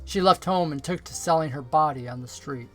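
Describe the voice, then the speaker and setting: Higher-pitched man reading a story over music. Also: brisk. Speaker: story reader, pastor. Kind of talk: expressive narration of a Christmas story.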